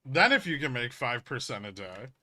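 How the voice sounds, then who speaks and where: Man, mid-pitched, bright forceful voice, casual livestream host, blunt emphatic explainer, sweary. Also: sing-song, normal speed. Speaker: livestream host, trading educator. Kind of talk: casual livestream chat, answering viewer questions.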